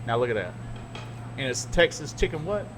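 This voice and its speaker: A man's voice: medium pitch, sing-song, moderate pace, background noise. A man hosting a podcast, joking through a casual chat over food. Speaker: podcast host.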